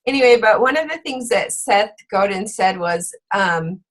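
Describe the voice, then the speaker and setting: A woman hosting a book club, a bit hoarse from being sick, chatting casually with lots of fillers. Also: medium pitch, lively intonation, moderate pace. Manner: chatty, with frequent fillers and laughs. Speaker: fitness coach and book club host.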